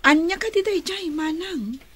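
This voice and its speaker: A woman's voice: very high-pitched, moderate pace, a bit bright. A woman playing an earnest, wronged young woman in a radio drama, with a Filipino accent. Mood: dismayed.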